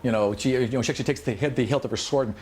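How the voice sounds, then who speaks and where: A man acts as game master at a tabletop game. He narrates off the cuff in a conversational way, doubling back on words and throwing in vocal effects. His pitch is average, and a light layer of background noise sits under him. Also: very fast, darkish tone.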